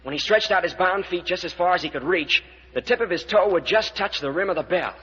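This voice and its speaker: A man playing an excitable young adventurer with an urgent, earnest, clipped delivery in an old-time radio serial. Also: high-pitched, fairly quick, husky, full character voice. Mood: earnest, explaining. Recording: muffled, noisy old radio recording.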